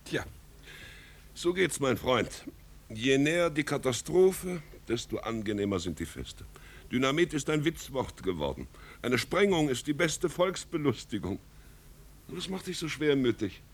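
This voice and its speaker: A man's voice: mid-range voice, sing-song, unhurried, slightly rough, exaggerated voice. An actor playing a mocking, philosophical confidant of a nobleman in a 1950s radio play, speaking in a wry, familiar way. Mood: wry, knowing. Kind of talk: 1950s German radio drama acting.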